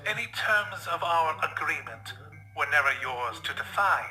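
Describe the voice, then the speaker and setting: A man playing a suave, condescending vampire stallion in a fan audio drama, with theatrical, drawn-out, mocking phrasing. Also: a little high, animated, sharp tone, poor audio. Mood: condescending, threatening.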